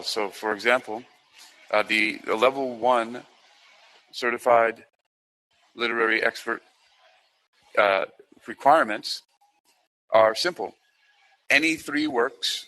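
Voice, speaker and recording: A man hosting an informal explanatory talk, speaking in a halting, rambling way and often repeating himself. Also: lowish voice, sharp tone, low-quality recording. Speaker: host presenting a literary certification program.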